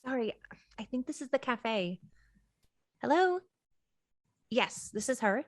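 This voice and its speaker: A woman's voice: on the high side, sing-song, slow, no echo, studio quality. A woman playing an eager, uneasy young woman in a script table read, casual and apologetic. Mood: polite, excited.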